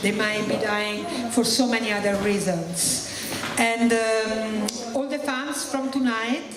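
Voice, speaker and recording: A woman hosting a live stage show with animated, laughing patter. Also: fairly high pitch, takes it slowly, noisy background. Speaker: show host. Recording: echoey hall from far off with crowd.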